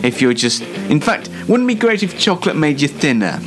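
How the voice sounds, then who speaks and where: Animated male host over loud guitar music. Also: a little high, a little fast. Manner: rambling, self-deprecating, whimsical. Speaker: radio show host. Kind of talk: casual solo radio-style monologue. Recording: music bed behind the voice. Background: loud plucked guitar music bed.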